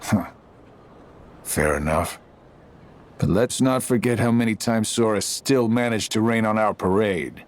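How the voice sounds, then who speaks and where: A man's voice: fairly low pitch, unhurried, long pauses, lightly acted. A man playing a sly middle-aged villain in a video game, taunting in a casual, mocking drawl. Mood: wry needling. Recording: music behind the voice.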